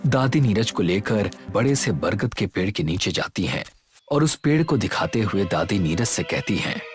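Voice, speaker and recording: A man narrating a scripted horror story in a measured, dramatic way. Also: fairly low pitch, lively intonation, soft background music.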